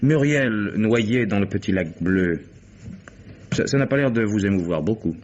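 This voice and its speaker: An actor playing an insistent, coolly sardonic private detective in a vintage radio crime drama. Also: male voice, lowish voice, lively intonation, moderate pace, darkish tone. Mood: probing. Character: dogged hired private detective, probing and insistent. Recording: dull lo-fi vintage radio recording.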